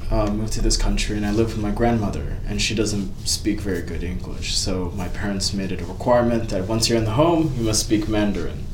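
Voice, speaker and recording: A young man in his twenties from California, a guest chatting candidly and with self-deprecating humor about his path, his pitch jumping up as he tells stories. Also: fairly quick, few pauses. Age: 20s. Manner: candid, self-deprecating, lively pitch jumps when storytelling. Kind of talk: casual interview chat. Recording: echoey room, mic at a distance, faint background noise.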